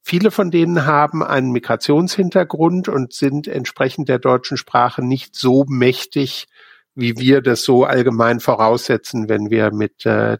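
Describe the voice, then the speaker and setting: A man's voice: medium pitch, vocal fry, no room sound, studio quality. A man working as a business consultant, telling his experience in an earnest, hesitant conversational way. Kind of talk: casual chat.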